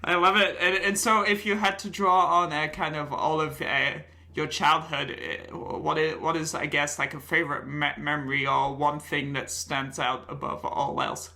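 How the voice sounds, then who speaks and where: A man's voice: on the high side, flat delivery, sharp tone. A man hosting an interview podcast, asking questions with frequent "uh" fillers between phrases. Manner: fills pauses with frequent "uh"s. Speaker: podcast host.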